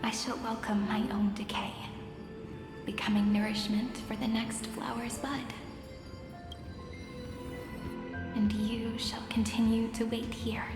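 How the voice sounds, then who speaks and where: An actress playing a gentle, wistful young woman storyteller in a video game, speaking softly and lyrically. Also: a little high. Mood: serene, resigned. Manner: slow, measured, lyrical. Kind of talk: dramatic video game voice acting. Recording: echoey hall reverb under loud music.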